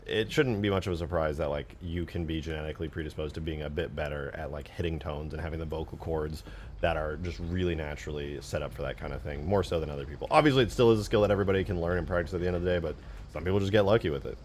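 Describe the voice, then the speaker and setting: Deep-voiced guy streaming casually. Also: racing, a little mellow, a little hiss or hum. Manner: informal slang, conversational, self-deprecating. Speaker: streamer, game modder. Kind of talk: casual livestream chat, answering viewers. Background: background music.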